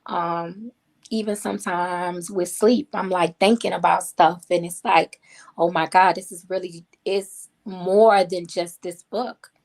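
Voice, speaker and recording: A woman's voice: lowish voice, steady tone, a little slow, creaky, a little mellow. A woman from Haiti, guest author on a talk show, speaking candidly and reflectively from personal experience.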